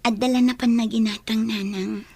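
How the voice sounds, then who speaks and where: A humble young mother, worn out and very husky. Her fairly high voice carries an Ilocano accent in a radio drama. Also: steady tone, moderate pace, dark tone. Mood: grateful, weary. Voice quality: husky, breathy.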